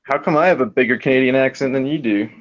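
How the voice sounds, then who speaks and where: A man's voice: medium pitch, quick pace. A man from Colorado chatting casually in a group, easygoing and a bit rambling. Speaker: chat participant. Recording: dull lo-fi recording.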